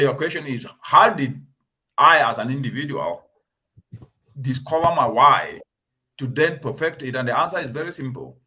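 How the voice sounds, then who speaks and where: A man hosting a talk show, speaking in an earnest, emphatic and measured way. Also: mid-range voice, pushed and bright. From Cameroon. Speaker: host.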